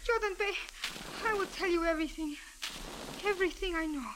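Very high-voiced frightened beggar boy. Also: brisk. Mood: pleading, confessing. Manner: formal, earnest, pleading. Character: frightened, deferential young Egyptian beggar boy. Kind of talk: 1940s radio drama acting. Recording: noisy vintage radio recording.

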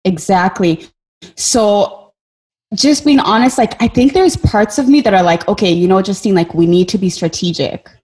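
A woman's voice: mid-range voice, moderate pace, a little mellow, low-quality recording. A woman from Kenya speaking candidly in a group video-call discussion, thinking aloud and sometimes searching for words. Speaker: discussion participant.

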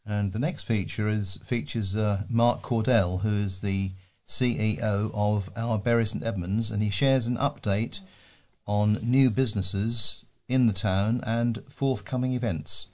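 Lowish-voiced man reading local news. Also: steady tone, a little slow, a little mellow. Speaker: volunteer newsreader for a talking newspaper. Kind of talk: read-aloud scripted local news feature.

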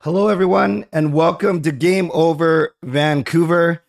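A man hosting a casual sports podcast, his voice raspy and hoarse from a cold, talking in a chatty, easygoing way. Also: on the high side, steady tone, a little slow. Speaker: podcast host.

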